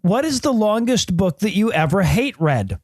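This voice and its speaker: A man's voice: high-pitched, big pitch swings, moderate pace, no room sound, studio quality. A man on a comedy podcast, bantering in a wry, sarcastic way. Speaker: podcast panelist and quiz master.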